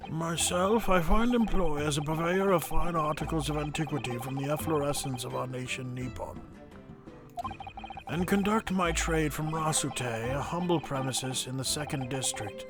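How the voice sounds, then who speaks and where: A man's voice: fairly high pitch, normal speed, natural voice. A man acts out an elderly antique merchant for a courtroom game. He is pompous and long-winded, savouring ornate phrases with great affectation. Music plays behind him. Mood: grandly self-introducing. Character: pompous old male antique dealer with flowery speech. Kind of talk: fan-dubbed courtroom game acting.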